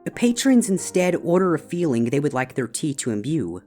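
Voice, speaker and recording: A woman's voice: low voice, sing-song, moderate pace, dark tone. A woman voicing an elegant, cheerful and teasing unicorn barista with chatty, polished patter in scripted story dialogue. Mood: welcoming. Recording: music bed behind the voice.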